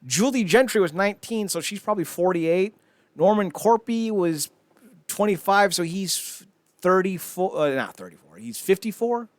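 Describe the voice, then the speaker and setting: A man with a fairly high voice chats casually as a podcast host. His pitch swings widely as he riffs and swears. The sound is very dry. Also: moderate pace, dry recording. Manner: animated riffing, swears casually. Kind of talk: casual comedic podcast chat.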